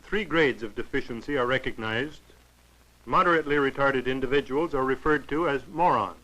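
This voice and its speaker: Bright, formal 1940s film narrator man. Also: medium pitch, low-quality recording. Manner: formal, clinical, measured delivery.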